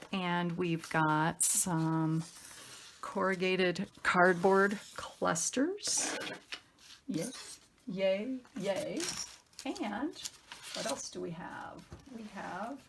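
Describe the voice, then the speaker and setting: A woman's voice: on the low side, very slow, a little hiss or hum. A woman hosting a craft tutorial in a casual, chatty style, upbeat and effusive, thinking aloud as she works.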